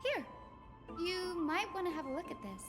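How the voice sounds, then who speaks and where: A woman playing a gentle, playful young priestess with a teasing, coy manner in a video game. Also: very high-pitched, big pitch swings, moderate pace. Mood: calm, explaining. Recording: game dialogue over background music.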